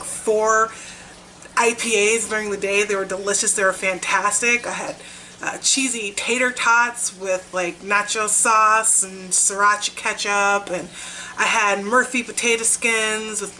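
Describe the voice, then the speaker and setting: A woman's voice: medium pitch, pushed and bright, a little hiss or hum, poor audio. A young woman chatting casually in a vlog, upbeat and talkative, while she is losing her voice. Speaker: vlogger. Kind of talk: casual weight-loss vlog.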